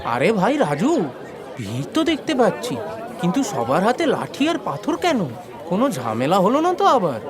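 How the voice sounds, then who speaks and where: An actor voicing a chatty, excitable male friend in a cartoon story, talking in a matey way. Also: medium pitch, normal speed, a crowd in the background. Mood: puzzled, uneasy. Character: chatty, excitable man out for fried snacks.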